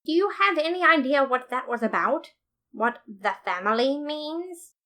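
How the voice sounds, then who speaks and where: A woman's voice: high-pitched, expressive, unhurried, bright, forceful tone, pitched above the performer's own voice, exaggerated voice. A woman voicing an earnest, boastful male skeleton detective in a storybook reading, theatrical and overly formal.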